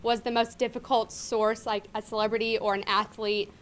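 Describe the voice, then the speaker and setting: A young woman student asking a question in class, loud and polite, speaking without a microphone. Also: on the high side, level tone, moderate pace, slight background noise. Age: young adult. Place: university classroom.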